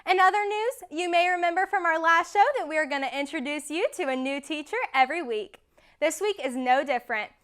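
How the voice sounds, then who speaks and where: A teenage girl anchoring a student-run school newscast, reading scripted news in a brisk, upbeat way. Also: high voice, a bit bright. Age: teens. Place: school studio.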